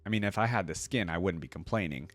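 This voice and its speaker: A man giving casual, informal reaction commentary as a video host, thinking out loud as he talks. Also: low-pitched, lively intonation, quick pace, no room sound.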